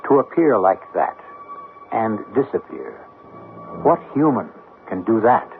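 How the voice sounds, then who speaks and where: A man hosting and narrating a radio mystery in a deliberate, ominous, theatrical style. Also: on the low side, very animated, narrow, tinny audio, soft background music. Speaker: host and narrator. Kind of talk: dramatic scripted narration, 1970s radio mystery. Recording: band-limited old radio broadcast.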